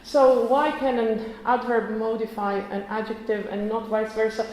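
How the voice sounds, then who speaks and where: A woman's voice: fairly high pitch, level tone, moderate pace, a little mellow, slight background noise. Woman lecturing in a very echoey hall. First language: Croatian. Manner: chatty, self-correcting, with frequent restarts and small laughs. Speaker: conference lecturer. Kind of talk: academic conference talk.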